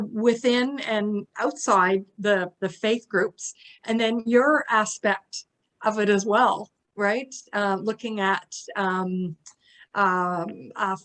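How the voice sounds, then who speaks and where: A woman's voice: medium pitch, fairly bright tone. A woman hosting an online event, speaking hesitantly with many fillers and restarts. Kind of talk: casual online chat.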